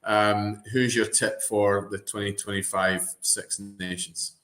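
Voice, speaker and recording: Man, webinar host, lowish voice, stop-start phrasing, roomy echo. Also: fairly even intonation, fairly bright tone. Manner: halting, repeats words, polite. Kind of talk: hosting an online interview. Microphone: video call.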